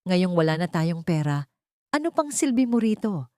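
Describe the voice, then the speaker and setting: A woman's voice: lowish voice, very animated, normal speed, a little mellow, no room sound, clean studio sound. Woman acting a fierce, domineering old matriarch. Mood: scornful, angry. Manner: scolding, commanding. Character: fierce, domineering older matriarch, cruel and scheming. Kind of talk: dramatic audio drama dialogue.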